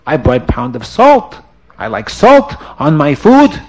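A man voicing a gigantic, hot-tempered male customer who shouts in broken English, high and loud. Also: huge pitch swings, a little slow. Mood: suspicious.

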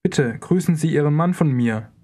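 A man in a language course, repeating phrases slowly and deliberately as a model for learners. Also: fairly high pitch, lively intonation, mellow. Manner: slow, deliberate model pronunciation. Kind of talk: scripted instructional dialogue.

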